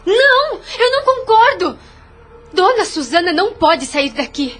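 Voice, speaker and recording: Actress as a high-voiced, gentle, devout young woman. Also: big pitch swings, measured pace, full character voice. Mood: distressed, firm. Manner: earnest, formal, pleading. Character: devout, gentle, self-sacrificing young woman, quietly firm. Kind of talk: audio drama acting.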